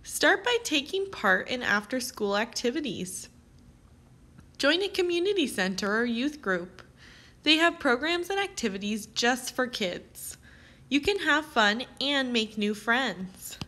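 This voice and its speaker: A woman reading aloud as a teacher, with expressive, carefully phrased delivery aimed at young children. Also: fairly high pitch. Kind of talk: children's read-aloud narration.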